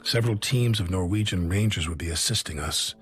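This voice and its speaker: An actor narrating as a weary male soldier looking back on the war in a game cutscene, in a measured, reflective tone. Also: low voice, close to an everyday voice. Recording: music-heavy game cutscene mix.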